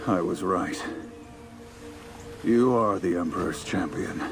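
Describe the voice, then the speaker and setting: A man's voice: low-pitched, slow pace. An actor playing a defiant male knight who has turned from his old allegiance, in a video game cutscene. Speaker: knight warden. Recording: game cutscene over clear music.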